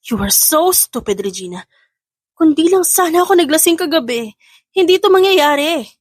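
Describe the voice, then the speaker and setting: A woman playing a cold, proud businesswoman with a stern, quietly threatening manner in an audio drama. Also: high voice, expressive, normal speed, no room sound, clean studio sound, pitched above the performer's own voice. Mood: annoyed. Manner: stern, emotionless delivery.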